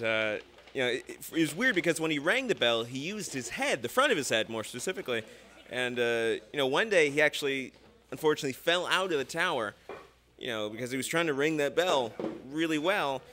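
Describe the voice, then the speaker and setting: A man's voice: a little high, animated, fairly quick, pushed and bright, slight background noise. A twenty-year-old man hosting a student radio theater show, rambling and joking in a self-deprecating way. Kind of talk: ad-libbed emceeing with jokes. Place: small studio. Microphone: standing microphone.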